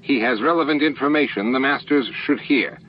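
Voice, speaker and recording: A man's voice: medium pitch, fairly quick, pitched above the performer's own voice, lightly acted. A stern, scowling alien security chief, played by a male reader makes formal accusations in a science fiction audiobook. The recording is lo-fi with faint noise. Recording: dull, noisy lo-fi book-on-tape recording.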